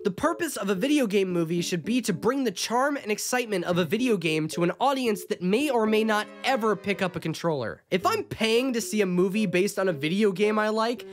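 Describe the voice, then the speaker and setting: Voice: medium pitch, animated, a little fast, few pauses. A narrator delivering a scripted video essay in an opinionated, sarcastic, emphatic way.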